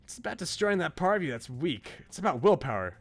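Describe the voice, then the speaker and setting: A man's voice: a little high, very animated, quick pace, gravelly, pitched above the performer's own voice, full character voice. A man voicing a bossy, brash young female workout buddy from a visual novel, scolding and emphatic. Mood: earnest coaching. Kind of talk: visual novel character read-aloud. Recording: game music behind the voice.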